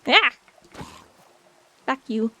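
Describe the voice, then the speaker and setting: A woman's voice: drawn-out words, sharp tone. A woman in her late twenties chatting casually with viewers on a game stream, rambling with many fillers. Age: 20s. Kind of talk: casual chat with chat while gaming.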